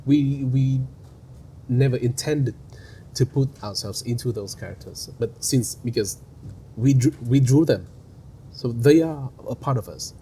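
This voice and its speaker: A man's voice: medium pitch, unhurried, dark tone, slight background noise. A man with a Vietnamese accent, a guest on an interview podcast, speaking earnestly and often repeating words as he searches for them. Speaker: animation studio head, guest.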